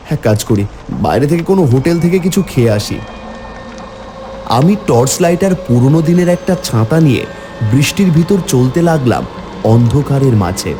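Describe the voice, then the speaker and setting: Animated male horror narrator, mellow tone. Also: medium pitch. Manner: theatrical, exclamatory in dialogue. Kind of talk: dramatised first-person horror storytelling for a cartoon.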